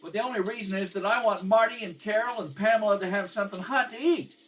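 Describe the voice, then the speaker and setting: A man's voice: high voice, fast, a bit bright, voice pushed up. A man voicing a proud, earnest young boy who is protective of his family in a radio drama read-through. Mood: defiant, insistent. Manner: earnest, insistent. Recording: distant mic in a home kitchen.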